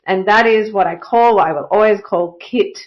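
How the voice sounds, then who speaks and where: A woman hosting her own podcast, sounding a little croaky from a cold and talking in an upbeat way with frequent "uh" fillers. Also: mid-range voice, normal speed, lo-fi sound. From Australia. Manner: upbeat and enthusiastic, with many "uh" fillers. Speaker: podcast host. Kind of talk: solo podcast monologue.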